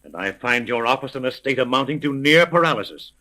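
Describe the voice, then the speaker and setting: Rich, impatient radio-drama gentleman, animated intonation, bright forceful tone, formal, imperious, 1930s acting. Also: mid-range voice, fast. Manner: formal, indignant, emphatic. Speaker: actor. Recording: vintage 1930s radio recording.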